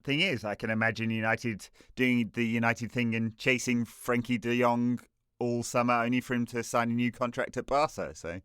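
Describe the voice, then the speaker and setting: A man's voice: mid-range voice, steady tone, normal speed, a bit bright, clear, resonant tone, dry recording, studio quality. A man co-hosting a podcast, chatting casually about football and rambling with many fillers and restarts. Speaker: podcast co-host.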